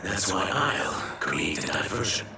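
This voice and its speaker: An actor voicing an earnest, secretive rebel scout in a video game. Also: male voice, low-pitched, sing-song, a little slow, a crowd in the background. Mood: urgent. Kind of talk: cinematic video game acting. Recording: echoey, distant, under loud music.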